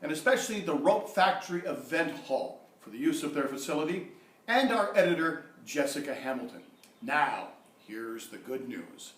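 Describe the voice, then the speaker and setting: A man's voice: fairly high pitch, lively intonation, normal speed, fairly bright tone. A man giving a theatre company's closing announcement and thanks. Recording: echoey event hall.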